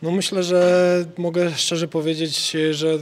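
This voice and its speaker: A young man, a football player, answering post-match interview questions hesitantly, repeating words and filling pauses. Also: high-pitched, level tone. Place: stadium interview area before sponsor wall. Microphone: handheld reporter mic.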